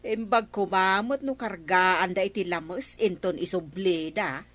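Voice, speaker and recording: A woman's voice: on the low side, unhurried, fairly bright tone. A woman playing an obliging, questioning village neighbor in a comedy radio drama, with an Ilocano accent.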